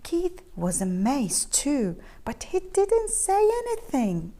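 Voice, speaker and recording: A woman reading a picture book aloud to children in an expressive, animated storytelling style, inviting them to join in. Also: high-pitched, slow pace. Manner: expressive, asks the listening children questions.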